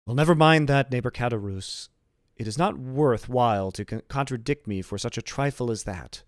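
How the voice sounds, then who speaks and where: A man's voice: medium pitch, moderate pace, no room sound, studio quality, close to an everyday voice. A man reading the part of a happy, earnest young sailor bridegroom in a storybook, eloquent and emphatic. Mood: good-humoured confidence.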